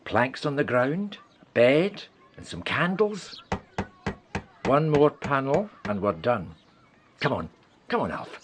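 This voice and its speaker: Radio drama, Scottish wartime country doctor, hearty and fatherly, very animated melody, measured pace. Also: medium pitch, background noise. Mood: hearty, encouraging. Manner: hearty, avuncular, exclaiming. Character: kindly, brisk village doctor in wartime 1940s. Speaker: actor in a radio play. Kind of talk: radio play acting.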